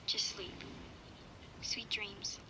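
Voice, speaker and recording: Thoughtful young girl survivor, even reflective narration, audio drama, clear background noise. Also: medium pitch, extremely slow. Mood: uncertain, soothing. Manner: reflective, subdued. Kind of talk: scripted first-person audio drama narration.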